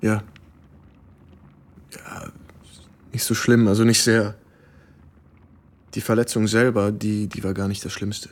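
An actor playing a mild, reserved young American writer and war veteran in a radio play, speaking in an understated, hesitant way. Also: male voice, fairly low pitch, little pitch movement, takes it slowly, long pauses. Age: young adult. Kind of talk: naturalistic radio play dialogue.

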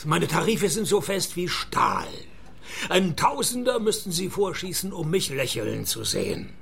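An actor playing a dry, world-weary private detective in a radio play, deadpan and laconic, with a gravelly voice and a Swiss accent. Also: male voice, a little high, measured pace, full character voice. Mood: sardonic. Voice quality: gravelly, husky. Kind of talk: radio play dialogue and first-person narration.

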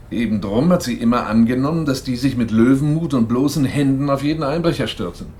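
Male actor, wary security man for radio drama, insistent and questioning, mid-pitched, noise behind him. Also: fairly quick, darkish tone, lightly acted. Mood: concerned. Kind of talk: 1980s German radio drama dialogue.

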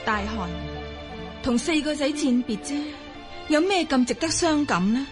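A woman's voice: sits high, lively intonation, a little slow, over music. A woman playing a khan's resolute middle-aged wife in a Cantonese radio drama, formal and insistent. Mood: urging, fierce.

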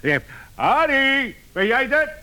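An actor playing an earnest, flustered visitor in a radio comedy, hesitant and stammering yet insistent. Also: male voice, very high voice, big pitch swings, measured pace, bright, forceful tone, heavily acted. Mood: anxious calling out. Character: Sam, earnest flustered visitor defending his inventor friend. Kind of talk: radio comedy drama acting.

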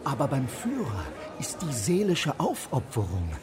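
Radio play, fat gushing fanatic, very animated, rapturous repetition, busy crowd around him. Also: male voice, medium pitch, a little slow. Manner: rapturous, repeats himself with emphasis. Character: fat, gushing Nazi fanatic, starstruck by his leader. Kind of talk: German radio drama acting. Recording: crowded pub noise behind.

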